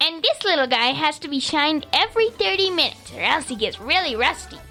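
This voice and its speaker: A woman's voice: high voice, very animated, a little fast, sharp tone, soft background music, exaggerated voice. A woman playing an eager, scheming sidekick in a comedic western radio play. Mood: coaxing. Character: eager, scheming female sidekick in tool heist.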